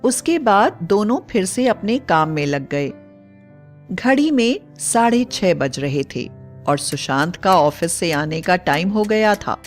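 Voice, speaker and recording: A woman's voice: mid-range voice, very animated, slow pace. A woman narrating a scripted bedtime story as a storyteller. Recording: faint music bed. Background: soft background music.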